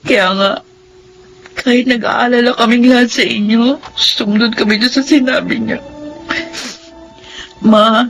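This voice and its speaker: Tender, worried grown daughter, faint noise. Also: female voice, fairly high pitch, poor audio. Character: dutiful, worried adult daughter and mother. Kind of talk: radio drama acting.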